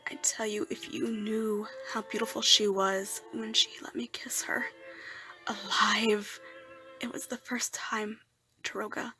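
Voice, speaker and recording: A woman performing an amateur dramatic monologue as an anguished, lovesick, masked male outcast near death, in a halting, breathy delivery that moves between hushed murmurs and emotional outbursts, over music. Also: high voice, pitched above the performer's own voice, full character voice.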